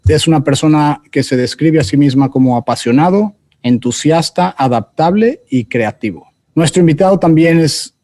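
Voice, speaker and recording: A man's voice: medium pitch, mellow. A Mexican man with a Mexican Spanish accent hosting a podcast interview, giving formal introductions and chatting with "este" fillers. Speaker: podcast host. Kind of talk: conversational interview.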